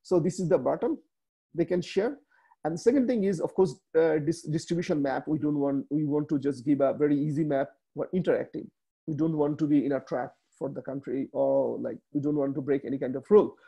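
Bangladeshi guy presenting slides on a video call, gravelly and kinda husky, lots of uh and like. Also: fairly high pitch, warm tone. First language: Bengali. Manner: halting, many fillers and restarts. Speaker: webinar speaker. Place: small room indoors.

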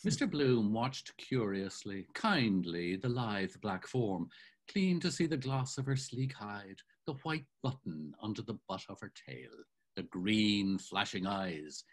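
Older guy reading a novel aloud, husky, pitch rolls all over, webcam. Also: unhurried. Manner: savoring, expressive storytelling. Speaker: narrator. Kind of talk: dramatic literary reading. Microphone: video call webcam.

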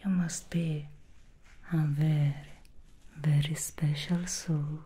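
A woman voicing an alluring, scheming female demon hairstylist in an ASMR roleplay, speaking in a slow seductive whisper. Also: low voice, little pitch movement, warm tone, a little hiss or hum. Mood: sly whisper.

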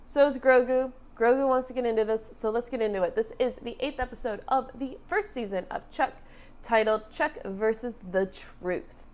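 A young woman talks to her webcam with a congested, nasal voice, her nose stuffed up from allergies. She chats excitedly and rambles, with plenty of fillers, from a small bright room, sounding like a friendly reaction-video host. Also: a little high, moderate pace. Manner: chatty, lots of fillers, excitable. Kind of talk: casual reaction commentary.

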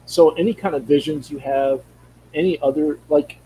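A man's voice: fairly high pitch, normal speed, warm tone, a little hiss or hum, rough recording. A middle-aged man with a Minnesota accent who rambles and restarts as he talks over a video call from a room at home. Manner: rambling, searching for words, many restarts. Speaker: guest. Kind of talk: casual conversation. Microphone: studio mic on video call.

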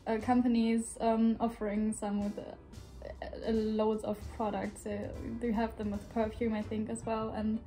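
An eighteen-year-old woman from western Germany with a German accent, speaking English as a second language, pausing often on "um" and "uh". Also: a little high, flat delivery, warm tone, soft background music, rough recording. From North Rhine-Westphalia, Germany. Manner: hesitant, many "um" and "uh". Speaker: guest volunteer. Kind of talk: casual chat on camera. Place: indoor room with shelves.

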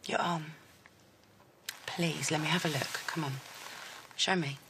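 An actress in her thirties with a London accent, playing a blunt, protective, quick-tempered woman caring for a girl. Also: low voice, steady tone, background noise. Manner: blunt, sharp-tongued, coaxing when gentle. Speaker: stepmother figure. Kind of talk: soap opera acting. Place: home living room and street.

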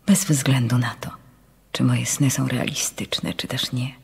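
A frail, pleading nun in a radio drama. Her voice is hushed and creaky, with a flat, low pitch and a breathy edge. She speaks haltingly, like someone confiding a dread secret. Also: heavily acted. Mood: hushed, ominous. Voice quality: breathy, whispery, husky. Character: frail foreign nun, mystical, fearful, pleading. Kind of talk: radio drama letter monologue. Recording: long hall-like reverb.

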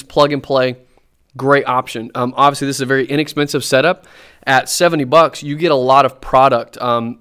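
A young man in a dark studio room, giving a casual review to camera, talking off-axis into a boom-arm condenser mic, with lots of ums and restarts. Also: clear, resonant tone, no room sound. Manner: chatty, many ums and restarts. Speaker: drummer and gear reviewer. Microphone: USB condenser on a boom arm, speaking off-axis.

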